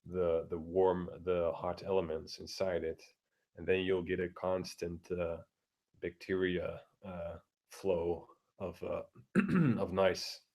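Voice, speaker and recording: A man with a deep voice ranting crudely and bluntly, keeping his voice down at home, halting with many fillers and restarts. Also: little pitch movement. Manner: keeps his voice down, talking quietly; crude, blunt, heavy swearing. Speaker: vlogger. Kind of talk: casual profane rant. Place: home room with neighbors through the walls.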